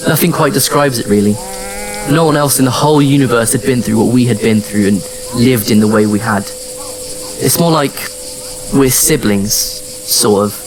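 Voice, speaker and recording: A man's voice: medium pitch, normal speed, background noise, lightly acted. An actor playing a wry, patient adventurer who narrates his own story in an audio drama. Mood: reflective, patient. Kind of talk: scripted first-person audio drama narration and dialogue. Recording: music under the voice. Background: music.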